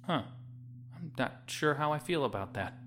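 A man's voice: fairly high pitch, lively intonation, moderate pace, a bit bright, slight background noise. A man playing a wary, protective young hero in a sci-fi audio drama, casual and skeptical.

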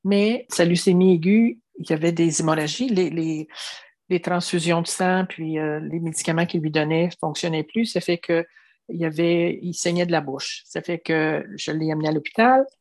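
Low-voiced older Québécois woman. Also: steady tone, normal speed, a little mellow. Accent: Québécois French. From Quebec. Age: 70s. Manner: earnest, many restarts and filler "tu sais". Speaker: interviewee. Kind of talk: reflective personal storytelling.

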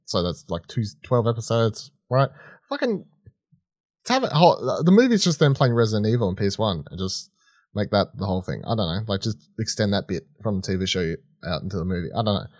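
A man with a fairly low voice banters as a podcast co-host. He is blunt and offhand and swears casually. The recording is dry and free of room sound. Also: very animated, fairly quick, darkish tone, no echo.

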